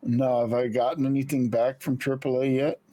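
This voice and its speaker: A man's voice: medium pitch, flat delivery, moderate pace, warm tone, no room sound. A man playing a tabletop game, chatting casually with dry jokes. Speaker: player. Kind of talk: casual play chat.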